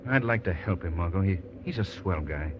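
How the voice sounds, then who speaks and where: An actor playing an urbane, earnest male gentleman detective, in 1940s radio style. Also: low-pitched, expressive, brisk. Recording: dull, hissy old radio recording.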